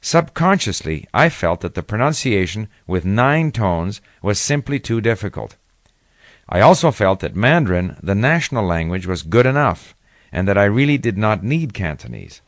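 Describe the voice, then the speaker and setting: A man's voice: on the low side, sing-song, moderate pace, no echo, clean studio sound. Older man reading his memoir aloud. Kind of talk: first-person read narration.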